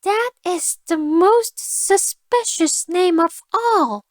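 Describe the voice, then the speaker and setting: A woman's voice: extremely high pitch, takes it slowly, no room sound, clean studio sound, voice pushed up. A woman voicing a small, naive female mouse in a storybook, thin-voiced, earnest and exclamatory. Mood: suspicious, puzzled.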